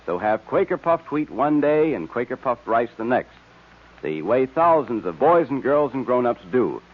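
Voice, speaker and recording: A man's voice: mid-range voice, very animated, normal speed. A man announcing and selling in an old radio style, emphatic and salesman-like, as if addressing children. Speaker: announcer and sponsor pitchman. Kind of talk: 1930s-40s radio announcing and advertising. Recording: muffled vintage radio broadcast recording.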